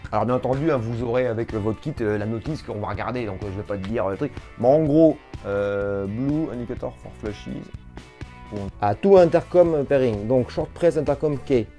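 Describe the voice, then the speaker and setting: A man's voice: medium pitch, moderate pace, warm tone. Casual man over background music. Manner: hesitant, informal, repeats himself. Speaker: video host. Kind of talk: casual unscripted product demo chat.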